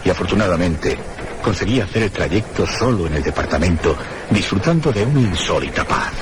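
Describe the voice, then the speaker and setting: Low-voiced, formal gentleman narrator. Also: animated, background noise. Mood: reflective, grave. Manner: measured, literary. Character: reflective, formal gentleman narrating his mysterious journey. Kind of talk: scripted first-person radio drama narration.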